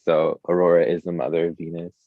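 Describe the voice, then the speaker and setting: Low voiced guy chatting in an interview, super slangy, says like a lot, muffled sound. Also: flat delivery, quick pace, no room sound. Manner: informal, slangy, hesitant, full of "like". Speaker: musician, interview guest. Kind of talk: casual chat.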